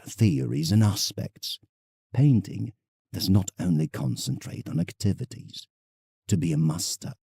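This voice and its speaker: Husky, expressive old male painter, played by an actor. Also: fairly low pitch, no room sound, studio quality, exaggerated voice. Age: older. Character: elderly male English painter, reflective, nostalgic letter writer. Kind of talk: dramatic first-person monologue, audiodrama.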